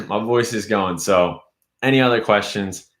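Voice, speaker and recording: A man's voice: lowish voice, unhurried, a bit bright. Casual young man, roomy live stream. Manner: loose, rambling, reads chat aloud. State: losing his voice. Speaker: YouTube host.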